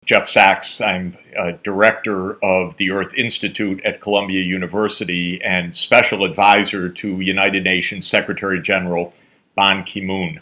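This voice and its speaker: A man giving a formal address at a conference, halting often with pauses between words. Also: deep voice, little pitch movement, bright, forceful tone. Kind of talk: formal speech.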